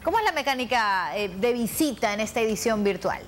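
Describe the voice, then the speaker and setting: A woman's voice: on the high side, lively intonation, fairly quick, a little hiss or hum. A young woman, presenting and interviewing in a polished broadcast style on a lapel mic in a television studio. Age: young adult.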